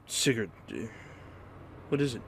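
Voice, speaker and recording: A man acting a troubled young man, halting and uncertain, in a fan radio drama. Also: medium pitch, very animated, creaky. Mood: hesitant, subdued. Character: hesitant, troubled young man carrying a burden.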